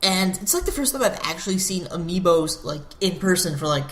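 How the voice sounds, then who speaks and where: A man's voice: high voice, lively intonation, fairly quick, fairly bright tone, roomy sound. A man co-hosting a casual video game podcast, speaking in loose, hesitant phrases. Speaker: podcast co-host. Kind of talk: casual chat about video games.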